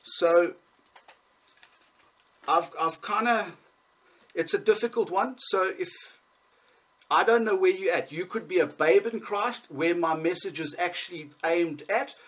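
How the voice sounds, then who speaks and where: Man, 30s, Southern African accent, Bible teacher, video call, fairly high voice, hesitant. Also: measured pace, pauses often, fairly bright tone. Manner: hesitant, many ums and restarts. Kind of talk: preaching over a video call.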